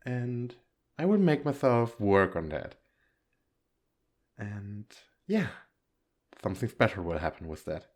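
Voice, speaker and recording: A man's voice: fairly low pitch, animated, takes it slowly, long pauses, a little mellow. A man giving a casual outro to viewers as an audio creator, rambling, giggly and often correcting himself.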